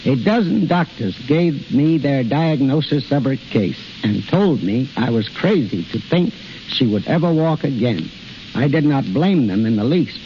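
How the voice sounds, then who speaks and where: A middle-aged man speaking formally and precisely as a guest in a scripted 1930s radio interview. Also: mid-range voice. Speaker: institute head, guest speaker. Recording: hissy, muffled 1930s radio recording.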